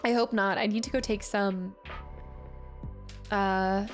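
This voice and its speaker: A woman's voice: mid-range voice, fairly even intonation, slow. A woman hosting a casual live stream, chatting to herself and viewers as she works, sounding slightly congested. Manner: chatty, murmuring to self and replying to viewers. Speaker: livestream host. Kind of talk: casual live stream chat while building. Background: music.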